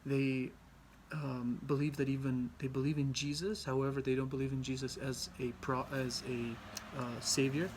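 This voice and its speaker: A young man from Albania giving a class presentation, halting often with ums and restarts. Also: medium pitch, flat delivery, slight background noise. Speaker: student presenter.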